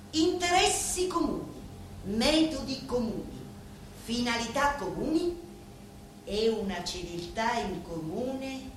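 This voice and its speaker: An actress playing an intense, solemn woman in a radio drama, declaiming deliberately and emphatically. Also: fairly high pitch, bright, forceful tone, heavily acted. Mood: grand, insistent. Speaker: stage actress. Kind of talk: theatrical monologue in a radio drama. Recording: very echoey room with faint noise.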